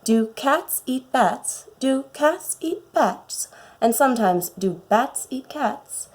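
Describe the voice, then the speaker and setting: Woman as dreamy British little girl, lightly raised pitch. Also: animated, takes it slowly, exaggerated voice. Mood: drowsy. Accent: put-on British. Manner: musing, talking to herself. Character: curious, dreamy, polite little girl Alice in storybook.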